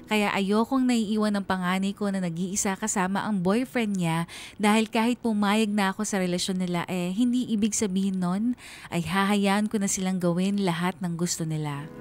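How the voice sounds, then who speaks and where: A woman's voice: medium pitch, normal speed, a little mellow, natural voice. An actress playing a weary, earnest middle-aged single mother who narrates her life in a radio drama, with a Filipino accent. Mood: worried, reflective. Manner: reflective, earnest.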